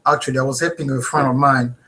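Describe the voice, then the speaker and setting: A man in his thirties with a French accent, hosting a live webinar from a home office and talking into a webcam, earnest and animated, checking in with viewers. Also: medium pitch, quick pace. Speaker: host.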